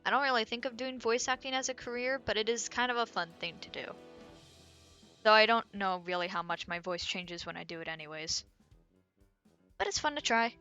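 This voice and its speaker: A woman's voice: a little high, animated, brisk, bright, forceful tone, lo-fi sound. A woman streamer chatting casually and thinking aloud while she draws, rambling and muttering to herself. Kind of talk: casual chat while drawing. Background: faint background music.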